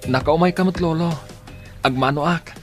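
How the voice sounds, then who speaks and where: An actor with an Ilocano accent playing a friendly, self-assured young student and grandson in a radio drama. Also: male voice, on the high side, moderate pace, over music, natural voice. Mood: respectful. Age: young adult.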